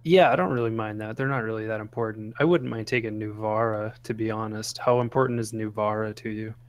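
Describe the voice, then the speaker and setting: A man's voice: lowish voice, fairly even intonation, brisk. A man playing a strategy game online, chatting casually and hesitantly with another player. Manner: easygoing, hesitant, joking. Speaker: fellow streamer player. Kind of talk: casual gaming chat.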